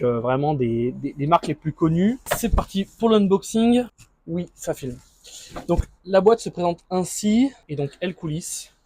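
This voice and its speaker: Higher-pitched man, casual vlogger, close dry sound. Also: darkish tone, no echo. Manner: informal, improvised, thinking aloud. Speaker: motorcycle vlogger. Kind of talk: casual unboxing and installation commentary.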